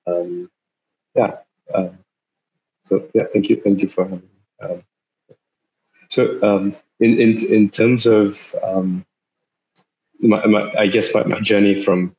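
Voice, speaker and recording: A young man in his twenties from Singapore, a startup founder giving an online talk, speaking haltingly with many fillers and repeated words. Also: fairly low pitch, steady tone, a little mellow, highs cut off. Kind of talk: online talk over a video call. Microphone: video call.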